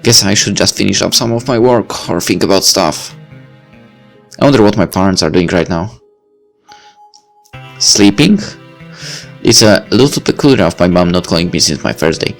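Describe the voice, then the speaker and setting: A very low-voiced man reads a visual novel aloud and stops now and then to chat about it. His reading is relaxed and casual, with the odd slip and restart. Soft music plays quietly behind him. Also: normal speed, gravelly, pauses often. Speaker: let's play host reading a visual novel. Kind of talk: reading game text aloud with commentary.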